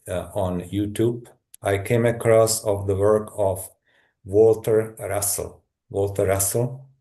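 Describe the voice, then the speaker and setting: A middle-aged man from Czechoslovakia with a Czech accent, a guest telling his story in a halting, thoughtful way with many restarts. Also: on the low side, dark tone. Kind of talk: personal story in a conversation.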